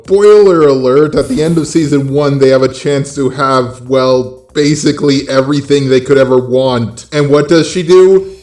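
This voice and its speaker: A man's voice: on the high side, darkish tone, roomy sound. A man giving sarcastic, exasperated, opinionated commentary on films and TV in the manner of an online video host. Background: background music.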